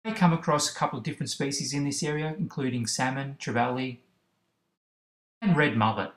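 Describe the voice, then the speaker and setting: A man talks through fishing directions in a steady, instructive voice that sits in an ordinary middle range. The recording has a roomy echo around it. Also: mid-range voice, fairly quick. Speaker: fishing channel host. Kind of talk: instructional voice-over about kayak fishing spots.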